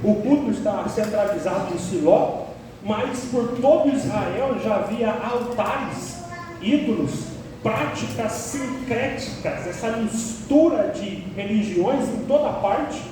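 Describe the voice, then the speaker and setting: A man from Paraná, Brazil, preaching an expository sermon, emphatic and building to sharp peaks on key words. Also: high voice, expressive, slow, noisy background. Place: church sanctuary. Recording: echoey church hall, distant mic.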